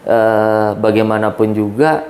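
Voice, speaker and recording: Union leader in his forties from Aceh; lowish voice, careful and deliberate, stretches words while thinking. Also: darkish tone. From Aceh, Indonesia. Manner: measured, thoughtful, draws out words with pauses. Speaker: labor union chairman. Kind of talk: casual podcast interview.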